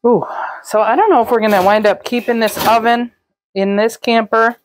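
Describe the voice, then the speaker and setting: A young woman casually narrating a walkthrough of a camper she is fixing up, relaxed and chatty with frequent ums. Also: on the high side, normal speed, background noise. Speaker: vlog host. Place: inside a gutted camper trailer.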